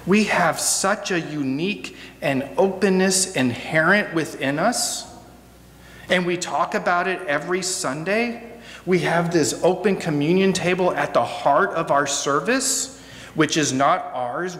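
Slow, echoey American man preaching. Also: a little high, a bit bright. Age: middle-aged. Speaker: guest preacher. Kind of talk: reflective sermon. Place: church sanctuary, at a pulpit. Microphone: gooseneck pulpit microphone. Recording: echoey hall, noticeable hum.

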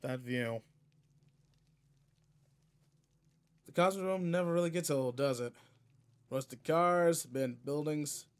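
A man's voice: on the high side, unhurried, smooth, close to an everyday voice. A man playing a seasoned but laid-back space guardian mentor in a video game roleplay, casual, slangy and wry as he talks to a partner. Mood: reflective. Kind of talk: video game roleplay audio, in-character monologue.

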